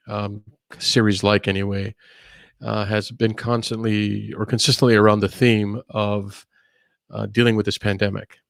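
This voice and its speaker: A middle-aged man hosting an online panel discussion, leading in a relaxed way with many fillers. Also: lowish voice, gravelly, no room sound, studio quality. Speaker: host.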